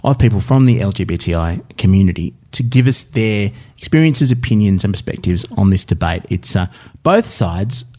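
Male podcast co-host, wry and joking, very animated intonation. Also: on the low side, moderate pace. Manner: wry, joking, lively delivery. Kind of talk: casual political comedy chat.

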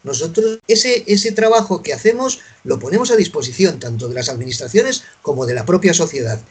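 A man's voice: fairly high pitch, expressive, fairly quick, a little hiss or hum. A man who heads an artists' association, speaking earnestly in a panel discussion with frequent hesitations and fillers.

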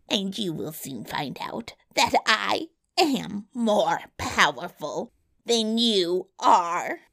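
Lady voicing a nasty little dwarf guy, cackly, gravelly, slow and gloating. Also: expressive, fairly bright tone, exaggerated voice. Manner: gloating, cackling laughter, pitch leaping high. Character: small ugly boastful male dwarf, spiteful. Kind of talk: storybook reading.

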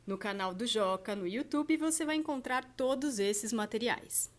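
A woman podcast host and journalist reading a news roundup and interviewing a guest in a steady, clear presenter delivery. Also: fairly high pitch, moderate pace.

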